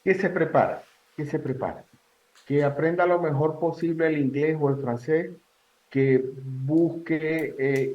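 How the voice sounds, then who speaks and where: A Venezuelan man in his sixties speaks in a measured, advising way, dropping "este" as he thinks. His voice sits a bit high for a man. The sound is roomy and dull, as if from an echoey space on a lo-fi line. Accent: Venezuelan Spanish. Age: 60s. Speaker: guest. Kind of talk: casual interview answers.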